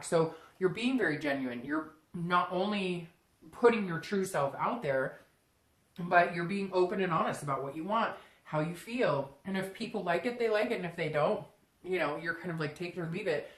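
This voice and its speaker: A woman giving a casual, unscripted tarot reading straight to the listener, conversational and often slipping into imagined first-person quotes. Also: fairly low pitch. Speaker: tarot reader hosting a reading. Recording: roomy, echoing room sound.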